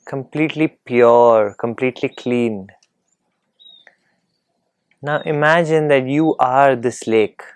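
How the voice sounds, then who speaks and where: Very slow, calm male meditation guide. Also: mid-range voice, smooth, no room sound. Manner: calm, gentle, unhurried instruction with long pauses.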